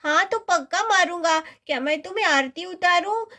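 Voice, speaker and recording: Woman voicing an indignant high-pitched little boy. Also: lively intonation, moderate pace, pushed and bright, heavily acted. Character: indignant young boy, sleepy whining brother. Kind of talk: children's cartoon story dialogue.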